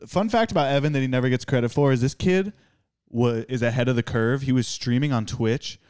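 A man's voice: mid-range voice, a little fast, darkish tone, clean tone, no echo, clean studio sound. A man chatting casually with friends, upbeat and full of "like". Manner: enthusiastic, peppered with "like". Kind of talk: casual chat.

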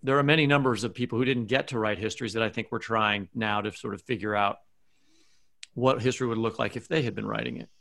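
A man co-hosting a podcast with gravelly, conversational commentary. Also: lowish voice, steady tone, quick pace, fairly bright tone. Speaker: podcast co-host.

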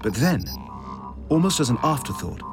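An actor playing a vengeful artificial intelligence in a vast spaceship, narrating an audio drama in a brooding, deliberate, intense way. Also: male voice, medium pitch, sing-song, music in the background. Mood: wary, detached.

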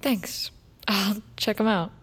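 A woman's voice: mid-range voice, steady tone, slow pace, fairly bright tone, slightly rough, full character voice. A woman in an audio drama playing a guarded, awkward, grieving teenage girl with a hesitant, earnest manner. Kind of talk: naturalistic audio drama acting.

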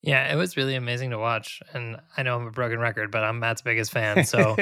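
A man's voice: medium pitch, level tone, fast, vocal fry, pushed and bright, clean tone, dry recording, clean studio sound. A man co-hosting a podcast, speaking in an upbeat way. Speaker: podcast producer and co-host. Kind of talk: podcast talk and ad reading.

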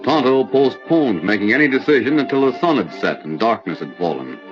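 A man's voice: medium pitch, animated, brisk, over loud music, lightly acted. A man narrating a vintage radio Western in a scripted 1940s storytelling style. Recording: dull old-time radio recording.

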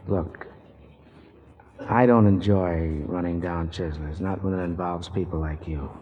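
A man's voice: low voice, steady tone, measured pace. A dogged, world-weary male detective in an old radio play. He talks bluntly in terse, slangy phrases. The recording is dull, with faint noise behind it. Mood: probing. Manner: terse, slangy, hard-boiled. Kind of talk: 1940s radio drama acting.

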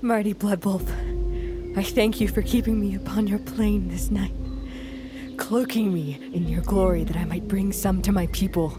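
A devout female hunter, voiced by an actress, prays to her wolf deity in a fantasy audio play. Her medium-pitched voice is hushed and reverent, with prominent music all around it. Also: steady tone, normal speed, close to an everyday voice. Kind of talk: cinematic fantasy audio drama acting.

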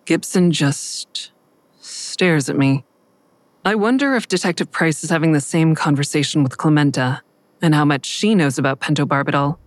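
A woman's voice: deep voice, moderate pace, lightly acted. An actress playing a guarded, defiant woman who narrates her own crime story in a wry, sarcastic way. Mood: tense, introspective. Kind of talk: audio drama acting with first-person narration.

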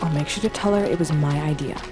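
A woman's voice: low voice, fast talker, over music, natural voice. An actress playing a defiant, bitter young fantasy hunter, a queen's daughter, brooding and blunt in audio drama style. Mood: brooding, subdued. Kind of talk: cinematic audio drama acting.

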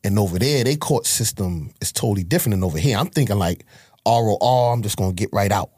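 A man with a New York accent talks in a low, husky voice, close and dry on the mic. He tells his story in a loose, streetwise way with plenty of swearing, and he acts out the people he quotes. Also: expressive, fast talker, a little mellow, no echo. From Harlem, New York. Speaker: guest telling his life story. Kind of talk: casual storytelling interview.

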